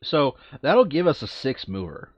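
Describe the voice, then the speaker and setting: A man's voice: medium pitch, very animated, moderate pace, no echo, dull audio. A man chatting casually as a player planning a board game session, thinking aloud and repeating his phrases.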